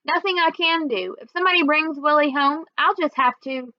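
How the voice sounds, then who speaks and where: A woman's voice: high-pitched, moderate pace, no room sound, lo-fi sound, voice pushed up, natural voice. A woman reading a storybook and voicing an upset, worn-out working woman with folksy, plain-spoken speech. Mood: upset, bitter. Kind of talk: storybook read-aloud dialogue.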